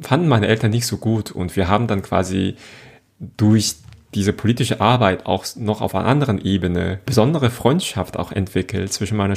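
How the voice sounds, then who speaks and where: Man, Korean accent, native Korean speaker, gravelly voice, reflective and hesitant. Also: on the low side, a little mellow. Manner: reflective, hesitant, searching for words. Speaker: interviewee answering set questions. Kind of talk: casual personal talk.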